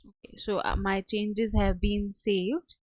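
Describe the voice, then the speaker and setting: A woman with a mid-pitched voice guides a listener through software step by step. Her delivery is halting and a little hesitant, like unscripted training. The sound is dry, with very little room in it. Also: little pitch movement, no echo, muffled recording. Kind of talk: step-by-step software tutorial narration.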